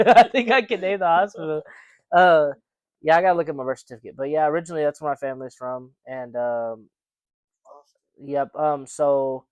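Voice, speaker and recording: A man's voice: fairly high pitch, moderate pace, smooth, no room sound. A young man co-hosting a casual podcast chat, rambling with lots of fillers and restarts. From United States. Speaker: podcast co-host.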